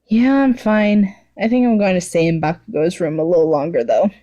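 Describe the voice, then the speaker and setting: A woman's voice: lowish voice, fairly quick, mellow, close to an everyday voice. A woman voicing a timid, polite teenage hero boy in an amateur story reading, hesitant and stammering. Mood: weary.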